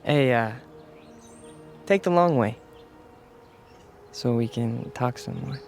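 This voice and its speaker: An actor playing a gentle, smitten young man in a radio drama, speaking tenderly and hesitantly. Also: mid-range voice, slow pace, gravelly, faint music behind. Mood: playful, coaxing.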